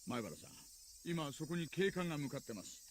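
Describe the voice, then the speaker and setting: A man's voice: on the high side, very animated, very fast, a little hiss or hum. A man playing a middle-aged police detective who presses and coaxes urgently over the phone, in game voice acting. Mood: pleading.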